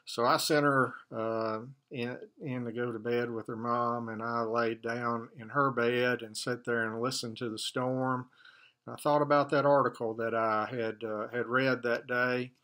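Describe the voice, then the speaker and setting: A man in his sixties, a church elder, giving a gentle, halting devotional talk and prayer from an office, filmed by a desk webcam. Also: medium pitch, little pitch movement. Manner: gentle, hesitant, many fillers. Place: office with wall map, indoors.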